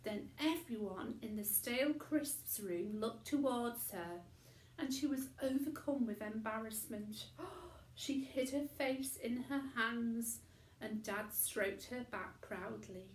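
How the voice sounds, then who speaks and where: Woman reading a story, slow and echoey. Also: fairly high pitch. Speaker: storyteller reading a children's book aloud. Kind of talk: expressive bedtime-story narration. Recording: echoey room.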